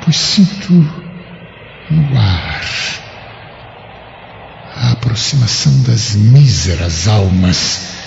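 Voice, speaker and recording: A man's voice: low-pitched, gravelly, exaggerated voice. An actor playing a florid Roman man in an audiobook drama, grandiose, with a Brazilian accent. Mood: ominous, enraptured. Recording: dull lo-fi audio, background noise.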